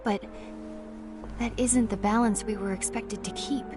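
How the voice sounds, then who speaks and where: A composed, analytical warrior from a dramatic video game, played by a woman. Her medium-pitched voice is measured and grave, with music clearly playing behind it. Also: steady tone, natural voice. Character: calm, analytical female keyblade master, composed and grave.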